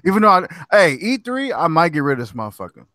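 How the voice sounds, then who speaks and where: A man's voice: fairly high pitch, very animated, fast talker, sharp tone, no room sound. A man bantering about games, joking and cursing. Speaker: podcast co-host. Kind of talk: casual gaming banter.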